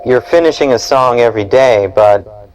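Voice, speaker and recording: A man's voice: mid-range voice, moderate pace, poor audio. A man in his forties, a poet and musician, speaking in a studio. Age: 40s. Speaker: poet, musician and cable show producer. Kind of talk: poetry recital and interview talk. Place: equipment-packed studio.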